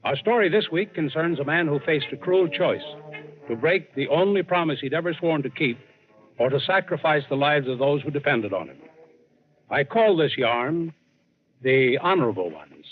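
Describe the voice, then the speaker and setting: An actor playing an adventurer host, narrating a scripted yarn in an old-time radio drama. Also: male voice, on the high side, lively intonation, moderate pace, close to an everyday voice. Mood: storytelling. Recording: tinny old radio recording. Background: dramatic underscore music.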